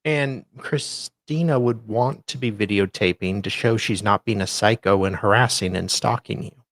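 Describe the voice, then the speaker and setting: A man's voice: fairly low pitch, normal speed, fairly bright tone, dry recording. A man co-hosting a show, reading legal filings dramatically with sardonic, mock-theatrical asides. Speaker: co-host doing a dramatic reading.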